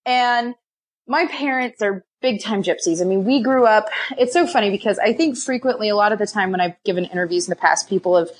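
A woman's voice: medium pitch, fairly quick. A young woman, a podcast guest, telling stories about her past in a chatty way, laughing often and acting out what she once said to herself. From raised in many countries, partly Scotland and Nevada. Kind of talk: casual storytelling.

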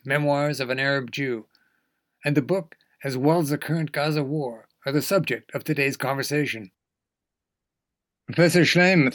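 Male podcast host and interviewer, mid-pitched, scripted delivery, very dry recording. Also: dry recording.

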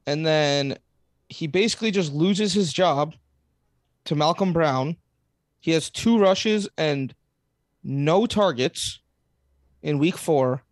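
A man's voice: a little high, slow, pauses often, no echo, studio quality. A man co-hosting a casual sports podcast, telling the story of a game and teasing his co-host. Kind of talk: casual fantasy sports chat.

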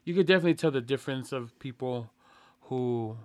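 A man hosting a casual interview, talking in an animated, emphatic way that keeps checking in with "you know" and "right?". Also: mid-range voice, a little fast, dry recording. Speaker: host.

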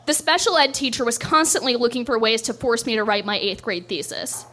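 A woman's voice: on the high side, fairly quick, bright, forceful tone. A young woman doing a stand-up set, telling a story in a dry, self-deprecating way on a club stage. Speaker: stand-up comedian.

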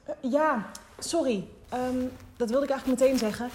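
Actress as higher-voiced, curious young woman reporter. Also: darkish tone, a little hiss or hum, lightly acted. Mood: hesitant, curious. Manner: hesitant, halting questioning. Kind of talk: naturalistic audio drama acting.

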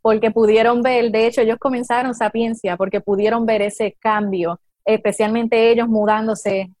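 A woman with a Puerto Rican accent in a casual chat, pausing often with fillers. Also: fairly high pitch, fairly even intonation, a little mellow, no room sound. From Puerto Rico. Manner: hesitant, many fillers. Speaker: guest.

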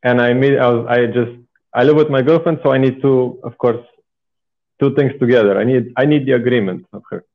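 A man's voice: medium pitch, fairly even intonation, fairly quick. Phone-like young Bulgarian-accented man, enthusiastic. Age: 20s. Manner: enthusiastic, emphatic. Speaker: podcast guest. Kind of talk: casual interview talk, personal storytelling. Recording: phone-like, thin internet call audio.

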